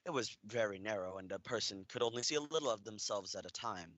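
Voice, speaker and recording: Young male narrator, scripted storytelling, lowish pitch, forceful bright tone, very dry recording. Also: fast, no room sound. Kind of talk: scripted radio play narration.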